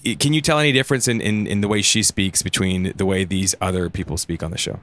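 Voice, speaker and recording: A man in a casual group discussion, thinking aloud in a halting way and repeating words as he goes. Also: low-pitched, steady tone, a bit bright. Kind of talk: casual panel chat.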